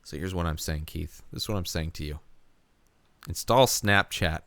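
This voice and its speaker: A man hosting a casual comedy podcast, talking in a rambling, halting way with plenty of swearing. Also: low-pitched, a bit bright, no room sound. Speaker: podcast host.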